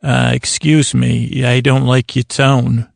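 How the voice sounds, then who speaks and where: Man playing a creaky, scolding old grandmother. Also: medium pitch, flat delivery, takes it slowly, no room sound, clean studio sound, heavily acted. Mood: offended. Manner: fussy, reproving. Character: scolding, nagging old grandmother obsessed with toothbrushing.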